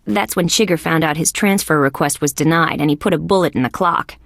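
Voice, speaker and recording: A woman playing a wry, skeptical young female police detective in a video game, with a deadpan, sardonic delivery. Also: low voice, a little fast, creaky, no room sound, studio quality. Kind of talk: scripted game character lines.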